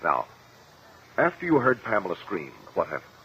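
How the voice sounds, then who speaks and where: An actor playing a shrewd, courteous attorney in a 1950s radio courtroom drama, questioning in a measured, formal, insistent way. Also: male voice, deep voice, huge pitch swings, fairly bright tone, exaggerated voice. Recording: dull, lo-fi vintage radio recording.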